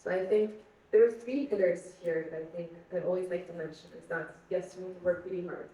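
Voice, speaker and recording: A woman's voice: lowish voice, steady tone, a little fast, dark tone, a little hiss or hum. A young woman from Egypt answering questions on a panel, earnest and searching for words, often repeating herself. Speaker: guest speaker. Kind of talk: panel conversation. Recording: echoey hall, mic at a distance.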